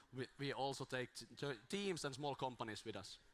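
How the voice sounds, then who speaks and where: A man's voice: mid-range voice, lively intonation, normal speed, a bit bright. Man giving a rambling conference talk. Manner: self-deprecating, rambling with restarts. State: voice strained from talking. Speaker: conference speaker. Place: small conference room. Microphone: handheld mic.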